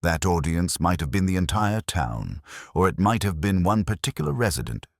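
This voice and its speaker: Very deep, husky male narrator. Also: very animated, dry recording, clean studio sound. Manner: measured, formal storytelling. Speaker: audiobook narrator. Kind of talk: scripted literary mystery narration.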